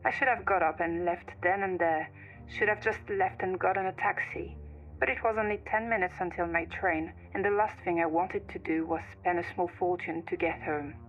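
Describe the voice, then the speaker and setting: Woman narrating horror, slightly low, dull lo-fi sound. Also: fairly even intonation, moderate pace. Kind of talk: scripted first-person horror narration. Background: music.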